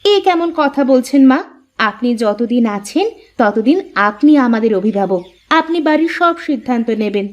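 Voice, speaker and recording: A woman's voice: on the high side, expressive, normal speed, dull audio, lightly acted. A woman voicing a dutiful young village daughter-in-law who stands her ground, in a Bengali cartoon story.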